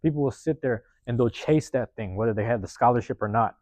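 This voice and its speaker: Guy rambling motivational talk, street slang, kinda hoarse, dead dry sound. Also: medium pitch, fast, a little mellow, no room sound. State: voice going hoarse. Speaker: podcast host. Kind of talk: casual motivational monologue. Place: university room.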